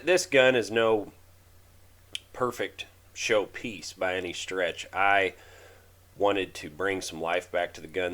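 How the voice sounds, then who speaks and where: A deep-voiced man in his thirties talks over his own workshop footage. His voice is rough and hoarse from a cold, and he stumbles, restarts and fills pauses as he explains his work. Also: a bit bright. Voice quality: raspy. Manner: halting, many fillers and restarts. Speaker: DIY channel host. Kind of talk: casual voice-over narration of a restoration video.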